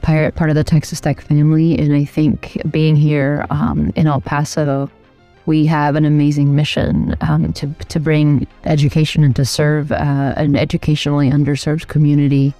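A woman's voice: sits low, little pitch movement, normal speed, mellow, no echo, faint music behind. A middle-aged woman from Albania, answering interview questions thoughtfully with many fillers and restarts. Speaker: architect and program director, guest.

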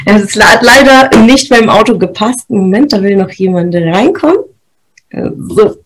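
A woman's voice: medium pitch, moderate pace. A woman, hosting a podcast and giving a motivational talk on setting goals, explaining step by step and checking in with her listeners. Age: 30s. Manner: lively, explains step by step with frequent "ja?" check-ins. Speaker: podcast host.

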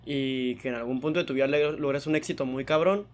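A young man from Mexico, chatting casually as a podcast host, slangy and animated. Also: mid-range voice. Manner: slangy, animated, fast storytelling. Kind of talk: casual chat.

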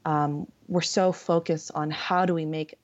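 A woman's voice: low-pitched, steady tone. Young Californian woman, slightly muffled sound. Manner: friendly, fillers and laughs, deliberate. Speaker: guest. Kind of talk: casual conversation. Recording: dull lo-fi sound.

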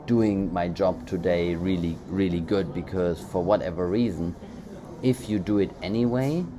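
A man whose first language is German, co-hosting a casual motivational podcast and talking in loose, rambling sentences full of restarts. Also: low voice. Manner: rambling, many restarts and fillers. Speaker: podcast co-host. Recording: background noise.

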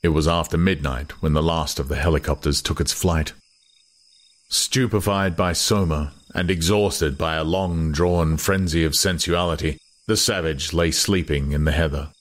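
A man's voice: extremely low pitch, moderate pace. A man reading literary fiction as a scripted, dramatic audiobook narration, with noise behind him.

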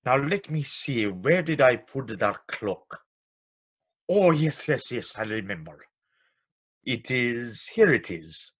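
A man's voice: medium pitch, big pitch swings, takes it slowly, fairly bright tone, breathy edge, exaggerated voice. A man playing a courteous, fussy clock repairer in his fifties who repeats himself politely, in vintage radio drama style. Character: courteous, fussy 56-year-old male clock repairer shopkeeper.

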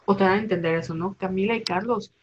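A young Mexican woman in her twenties talks in a loose, rambling way, stacking up fillers and slang. Her voice sits at a middling pitch, and the recording sounds a little dull and lo-fi. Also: quick pace, a little hiss or hum. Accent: Mexican Spanish. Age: 20s. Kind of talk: casual chat.

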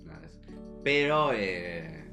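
Animated young Argentine man bantering. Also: deep voice, slow pace, over music. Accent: Rioplatense Argentine Spanish. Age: young adult. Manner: slangy, teasing banter. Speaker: podcast co-host. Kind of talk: casual chat.

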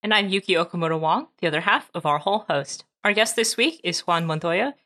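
A woman's voice: lowish voice, a little fast, sharp tone. A woman co-hosting a podcast, introducing the guest and the show's games in a friendly way. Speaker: podcast co-host. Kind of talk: casual chat.

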